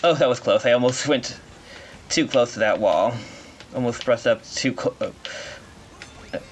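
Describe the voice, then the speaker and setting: A man's voice: medium pitch, measured pace, slight background noise. Man commentating his own speedrun. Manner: explains while playing, reacts to mistakes, self-correcting. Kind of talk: live commentary while playing.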